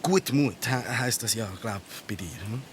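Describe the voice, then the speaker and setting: Man playing a slow-talking, swooping cool cockroach. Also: mid-range voice, expressive, a little hiss or hum, lightly acted. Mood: cool, choked up. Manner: halting hip-hop slang with English words. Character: streetwise, super-cool city cockroach buddy, secretly sentimental. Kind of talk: children's radio play acting.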